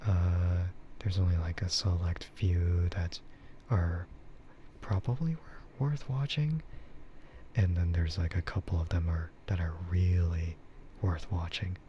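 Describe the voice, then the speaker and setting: A man streaming a casual, rambling ASMR chat in a soft, breathy voice that often slips into a whisper. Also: deep voice, fairly even intonation, a little slow, highs cut off, a little hiss or hum. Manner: rambling, laid-back. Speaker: streamer and VTuber host.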